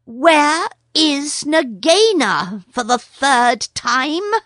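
A woman's voice: sits high, big pitch swings, no echo, studio quality, voice pushed up, heavily acted. Rikki Tikki, a woman playing a fierce little mongoose. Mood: impatient. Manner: brisk, sharp and scolding. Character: brave young male mongoose, fierce and impatient.

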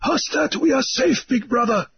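A man's voice: very high-pitched, expressive, moderate pace, gravelly, lo-fi sound, voice pushed up, exaggerated voice. A man voicing a breathless island guide who urges his friend to flee. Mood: urgent. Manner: breathless, urgent.

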